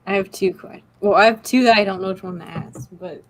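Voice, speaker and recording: A woman's voice: mid-range voice, fairly quick, slight background noise, poor audio. A woman co-hosting a casual podcast, speaking informally and a little hesitantly. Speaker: podcast co-host.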